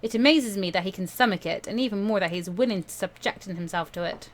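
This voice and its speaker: A woman's voice: mid-range voice, fast talker. A woman hosting a game playthrough, reading a visual novel aloud expressively with casual commentary.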